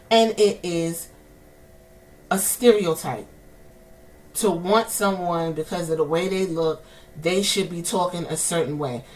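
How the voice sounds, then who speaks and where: A woman's voice: medium pitch, far from the mic, low-quality recording. A woman with a New York accent, hosting a podcast and ranting in heated, profane commentary. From New York City. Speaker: podcast host.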